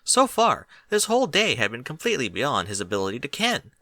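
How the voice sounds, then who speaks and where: A man narrates a storybook tale in a bright, forward voice. His pitch moves up and down in a lively, expressive way, and the sound is close and dry. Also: fairly quick, no echo. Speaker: audiobook narrator reading fan fiction.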